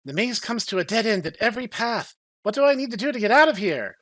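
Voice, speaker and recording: A man's voice: high voice, sing-song, quick pace, a bit bright, no echo, voice pushed up, exaggerated voice. A man voicing a brash, impatient giantess princess who loves a fight, in a dramatic reading of a game story. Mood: exasperated.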